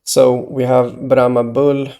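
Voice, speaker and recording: A man from Sweden, talking hesitantly in a rambling solo monologue, with many pauses and restarts. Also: medium pitch, monotone, vocal fry, dark tone, clear, resonant tone. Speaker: video blogger.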